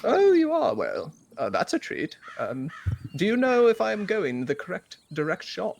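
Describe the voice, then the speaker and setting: A man playing a polite, stranded gentleman traveller who stammers and hesitates, with many fillers and formal phrasing. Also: high-pitched, wildly swooping pitch, normal speed, vocal fry, a little hiss or hum, full character voice. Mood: polite, flustered. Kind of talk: role-play in a western game.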